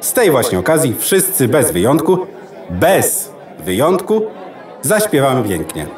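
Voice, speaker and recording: A man's voice: mid-range voice, wildly swooping pitch, unhurried, darkish tone. A man playing a pompous computer part as master of ceremonies in a children's audio drama, speaking grandly. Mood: ceremonial. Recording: echoey, distant, party crowd behind.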